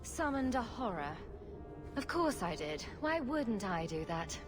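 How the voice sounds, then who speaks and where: An actress playing a confident, dry and sarcastic adventuring heroine in a fantasy game. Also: female voice, fairly high pitch, very animated, unhurried, over music. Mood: sarcastic, flippant. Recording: clear background noise.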